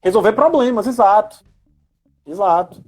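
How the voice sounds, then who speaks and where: Homem brasileiro explicando com pausas. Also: male voice, sits high, expressive, breathy edge. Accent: Brazilian. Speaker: live stream discussion participant. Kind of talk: casual explanatory live discussion. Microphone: live video call.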